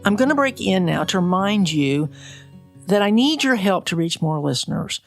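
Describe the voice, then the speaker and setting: A woman's voice: mid-range voice, expressive, normal speed, soft background music. An American woman, middle-aged or older, hosting a conversational podcast interview.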